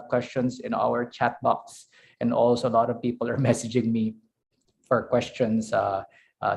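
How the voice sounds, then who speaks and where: A man's voice: mid-range voice, creaky, darkish tone. A man moderating a webinar, thanking speakers and introducing the next one in a chatty way with many fillers.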